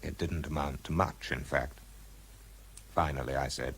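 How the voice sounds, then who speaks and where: An actor playing a blunt, stubborn, dryly sardonic British scientist in a radio drama, who also narrates it. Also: male voice, a bass voice, steady tone, moderate pace, gravelly, fairly bright tone, a little hiss or hum, full character voice. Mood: dry narration. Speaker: lead actor and first-person narrator.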